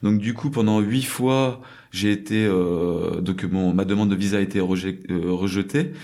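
Low-voiced man telling stories casually. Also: steady tone, moderate pace, darkish tone. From France. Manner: rambling, many fillers and restarts. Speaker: crêperie owner in South Korea. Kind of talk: casual interview storytelling.

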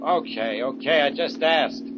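An actor playing an exasperated, tough city cab driver, blunt and streetwise, in 1940s radio drama style. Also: male voice, a little high, unhurried, over music, lightly acted. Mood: gruff, fed up. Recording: noisy, muffled vintage radio recording.

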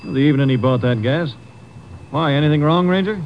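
An actor playing an obliging small-town gas station owner in a 1950s radio drama, talking in a folksy, hesitant way. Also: male voice, on the high side, lightly acted. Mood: obliging, curious. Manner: folksy, hesitant, drawn-out interjections. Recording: dull, noisy old radio recording.

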